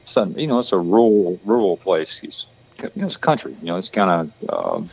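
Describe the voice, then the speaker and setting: A man's voice: deep voice, lively intonation, fairly quick, creaky, a little mellow, slightly rough, poor audio. A man from Georgia with a Southern country accent, chatting casually as an actor guest, rambling with lots of "you know". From Georgia, USA. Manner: rambling, repeats words and restarts, says "you know" often. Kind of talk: casual podcast chat.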